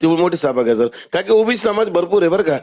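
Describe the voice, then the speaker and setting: Fast, high-pitched Maharashtrian man on phone. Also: very animated, a little mellow. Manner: insistent, interrupting. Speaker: caller. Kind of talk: argumentative phone conversation. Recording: muffled lo-fi phone call recording.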